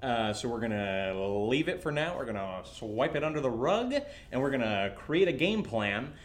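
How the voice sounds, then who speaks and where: A man acting in a horror audio drama as an excitable, sarcastic friend, with an animated, halting, rambling delivery. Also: medium pitch, lightly acted. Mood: rambling, wry. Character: excitable, sarcastic, rattled male friend who drinks.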